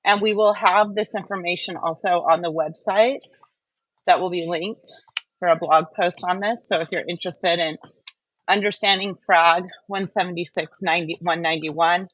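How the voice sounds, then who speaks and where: Slightly low-pitched woman hosting a chat. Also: fairly even intonation, normal speed, a bit bright, no echo, rough recording. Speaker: show host. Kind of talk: casual chat.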